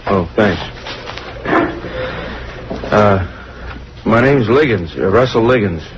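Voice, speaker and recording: A man's voice: fairly low pitch, expressive, takes it slowly. A man playing a chatty traveling salesman in an old radio drama, rambling and hesitant, with a rueful, self-blaming manner. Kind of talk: 1940s-50s radio drama acting. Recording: muffled, faintly noisy old radio recording.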